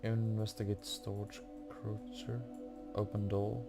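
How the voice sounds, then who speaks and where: A man giving casual commentary as he plays a video game, haltingly thinking aloud. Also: fairly low pitch, flat delivery, vocal fry, loud music behind the voice. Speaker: video game player making a playthrough.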